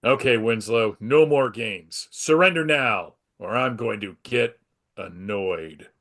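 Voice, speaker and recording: A man's voice: medium pitch, slow, a bit bright. A man playing a confident, polite comic book superhero, earnest and composed, in a dramatic table read. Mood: firm confidence. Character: heroic, polite, confident adult male superhero.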